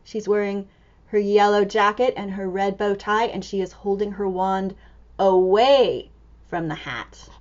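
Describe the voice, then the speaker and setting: A woman storyteller reading a picture book to young children in an animated, exclamatory way, full of expressive wonder. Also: medium pitch, unhurried, muffled recording. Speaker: storytime reader and teacher.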